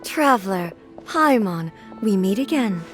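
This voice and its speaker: A woman voicing a calm, dignified goddess who rules a nation in a video game, speaking in a measured, formal way. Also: mid-range voice, natural voice. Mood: gracious. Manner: measured, stately, gracious. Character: calm, dignified female deity ruler, composed and formal. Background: game music.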